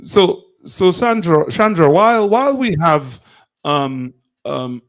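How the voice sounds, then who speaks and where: A man casually hosting a live online talk show, speaking haltingly and often repeating words and phrases. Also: fairly high pitch, very animated. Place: remote online video or audio call.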